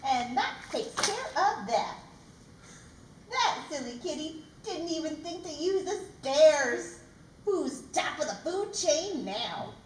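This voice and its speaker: Woman playing a mock-innocent giraffe, very high-pitched, unhurried, teasing, puppet show, echoey noisy room. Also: sing-song, full character voice. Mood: smug. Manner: teasing, playfully mishearing rhymes. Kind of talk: children's puppet show performance. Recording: roomy, distant mic, noisy.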